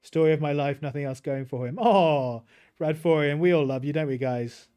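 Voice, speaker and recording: A man's voice: on the high side, normal speed, mellow, clear, resonant tone, soft background music. A British man casually commentating his gameplay on a livestream and chatting with his viewers. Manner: easygoing, chats with viewers while playing. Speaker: streamer.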